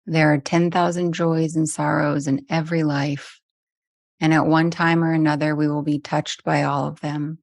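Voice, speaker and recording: A woman guides a meditation in a low voice with soft creak at the edges. Her delivery is very even and gentle, leaving long pauses between phrases. The sound is close and dry. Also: no room sound. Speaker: meditation teacher.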